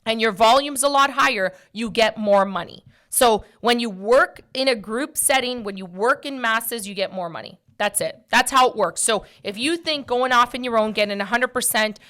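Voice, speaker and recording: Bright-voiced, blunt woman in her forties. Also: fairly high pitch, moderate pace, hardly any pauses. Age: early 40s. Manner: blunt, emphatic, repeats phrases for stress. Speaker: podcast host and mortgage brokerage owner. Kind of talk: casual direct-to-listener talk.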